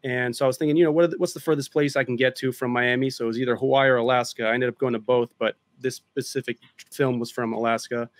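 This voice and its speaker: A man's voice: mid-range voice, quick pace, no echo. Chatty man with a dry sound. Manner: relaxed, chatty, explains at length. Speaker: drone filmmaker guest. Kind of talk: casual interview chat.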